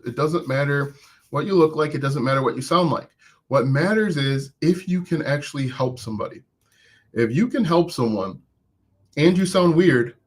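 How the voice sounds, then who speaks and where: A man with a voice of middle pitch, speaking as an online business coach on a live stream. He talks casually and encouragingly to his viewers, often restarting his sentences. He says he has an accent himself. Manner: conversational and encouraging, with frequent restarts.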